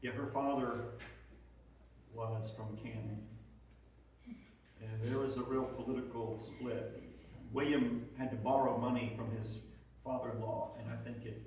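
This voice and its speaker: A man's voice: medium pitch, fairly even intonation, a little slow, long pauses, darkish tone. A man presenting at an informal history talk, explaining his thoughts in a reflective way to an audience. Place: indoor hall with an audience. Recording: very echoey room, distant mic, faint noise.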